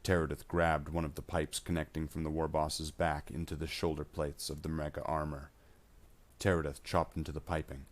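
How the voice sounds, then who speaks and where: A man's voice: rumbling low, level tone, moderate pace. A man reading scripted science fiction battle narration.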